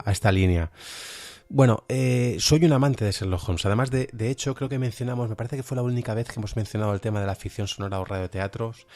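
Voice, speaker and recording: A man's voice: lowish voice, darkish tone. A man with an accent from Spain, presenting an informal podcast review in a chatty, hesitant, self-deprecating way. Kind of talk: informal review of audio dramas.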